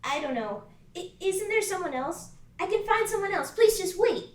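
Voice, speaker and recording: A woman's voice: very high voice, animated, normal speed, echoey room. A woman voicing a hesitant, anxious young witch at a table read, stammering over her words. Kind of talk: table read of an animated pilot.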